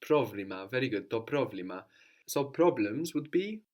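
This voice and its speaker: A man's voice: medium pitch, animated, normal speed, a little mellow. A man teaching a language lesson, patiently prompting his student with questions and repeating the answers back.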